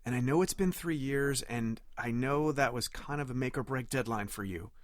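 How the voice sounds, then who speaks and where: A man's voice: mid-range voice, normal speed, no room sound, clean studio sound, natural voice. An actor playing a defensive, immature boyfriend in his thirties in an audio drama, emotional and indignant. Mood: defensive, pleading. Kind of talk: naturalistic audio drama acting.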